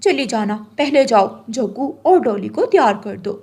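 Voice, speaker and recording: A woman's voice: on the high side, lively intonation, moderate pace, darkish tone, breathy edge. A woman voicing a haughty rich female crow, curt and commanding, in a cartoon tale.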